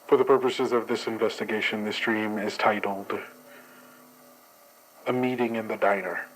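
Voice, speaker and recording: A man's voice: fairly low pitch, fairly even intonation, normal speed, a little hiss or hum, lightly acted, poor audio. A man playing a formal, guarded dream investigator who hesitates and speaks in stiff bureaucratic phrasing. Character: formal, guarded male agent analysing dreams. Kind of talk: actual play, in-character report.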